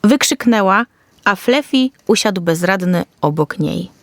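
Woman narrating a children's fairy tale, mid-pitched. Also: lively intonation, unhurried. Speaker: storyteller.

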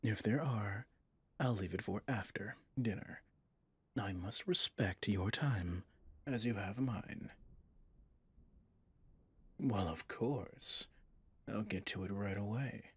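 A man playing a possessive villain boss in an intimate ASMR roleplay, speaking formally and commandingly yet indulgently, in a husky, breathy voice. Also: lowish voice, slow pace, pauses often, no room sound, heavily acted. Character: smooth possessive male villain boss, doting on favorite servant.

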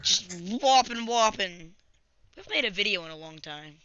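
A young gamer hosting a casual video, chatting and improvising a story in a halting, rambling way. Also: medium pitch, lively intonation, sharp tone. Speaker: video host and player. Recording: dull lo-fi webcam-grade audio.

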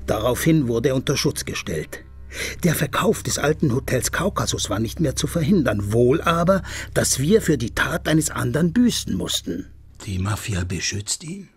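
A wounded, suspicious police investigator in a radio crime drama. The voice is very rough and husky at a medium pitch, with terse, probing questions. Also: male voice, very animated, moderate pace, no echo, faint music behind, exaggerated voice.

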